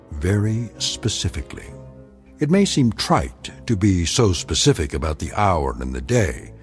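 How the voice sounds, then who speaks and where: An actor playing a grave, knowing narrator of eerie tales in a radio drama, speaking in a measured, deliberate way. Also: male voice, low voice.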